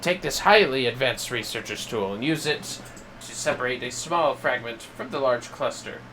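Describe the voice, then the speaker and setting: A man voicing a pompous, excitable young male scholar from a fantasy video game, with florid, theatrical delivery. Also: mid-range voice, normal speed, soft background music. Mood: eager, pompous. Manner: grandiose, florid, alliterative, theatrical exclamations.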